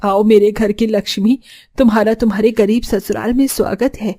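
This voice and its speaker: A woman's voice: medium pitch, fairly even intonation, normal speed, dark tone, lightly acted. A woman playing a poor, tender, self-effacing middle-aged mother-in-law in a cartoon story. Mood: welcoming.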